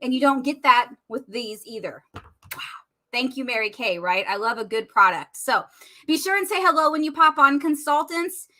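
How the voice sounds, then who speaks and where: A woman, chatty and bubbly, hosting a live makeup demo while losing her voice and clearing her throat. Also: high-pitched, bright, forceful tone. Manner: chatty and bubbly, addressing viewers as y'all. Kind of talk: casual live-stream makeup tutorial.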